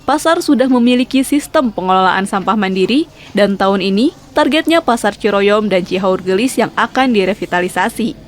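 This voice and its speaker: A woman's voice: medium pitch, expressive, normal speed, slight background noise. A woman narrating a scripted television news report.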